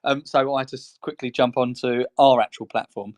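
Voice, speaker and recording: A man's voice: medium pitch, steady tone, a little fast. A man co-hosting a podcast in casual chat, talking in a rambling, chatty way and laughing between phrases. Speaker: co-host.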